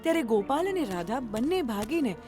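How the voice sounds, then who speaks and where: A woman reads a scripted story for children as the narrator. Her voice is on the high side, and music plays clearly behind it. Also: big pitch swings, brisk.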